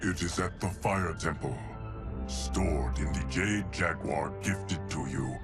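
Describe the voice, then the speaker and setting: An actor playing a stoic, loyal immortal steward of time, speaking formally and deliberately in a fantasy video game. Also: male voice, low-pitched, loud music behind the voice. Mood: grave. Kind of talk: cinematic fantasy game dialogue.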